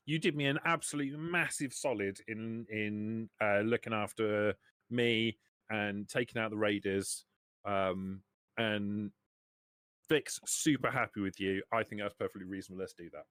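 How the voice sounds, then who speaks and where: A man's voice: on the low side, normal speed, fairly bright tone, no room sound, close to an everyday voice. A man voicing a cheeky, unashamed cowardly scavenger in a tabletop game, blunt and slangy. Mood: friendly, unashamed.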